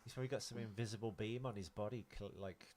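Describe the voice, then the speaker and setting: Fast-talking man on a film podcast. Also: on the low side, steady tone, a little mellow. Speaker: podcast co-host. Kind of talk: casual film discussion.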